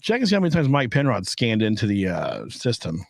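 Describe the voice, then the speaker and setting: A man co-hosting a casual livestream chat, joking and teasing with quick asides. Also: lowish voice, huge pitch swings, gravelly, dry recording, studio quality. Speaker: podcast co-host.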